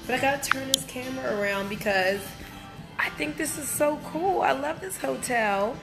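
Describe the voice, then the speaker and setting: A woman's voice: high voice, moderate pace, music in the background, rough recording. A middle-aged woman chatting casually and enthusiastically to live viewers on a handheld phone in an indoor gym. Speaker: livestream host, wellness business promoter. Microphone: handheld phone at arm's length.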